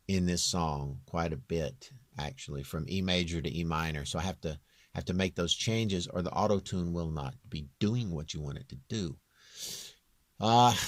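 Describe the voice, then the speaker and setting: A man with a very low, near-bass voice giving a casual, off-the-cuff software walkthrough in a rambling, plain-spoken, self-deprecating way. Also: dry recording, clean studio sound. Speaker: home-recording singer giving a tutorial.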